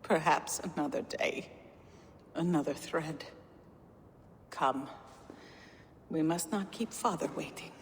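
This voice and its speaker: Game seeress: reclusive, grave, breathy, very slow delivery in long reverb. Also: female voice, low voice, heavily acted. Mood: wistful, urgent. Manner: grave, halting, mysterious. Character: solemn, reclusive young seeress, daughter of a giant lord. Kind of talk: video game voice acting. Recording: long echoing reverb, faint noise.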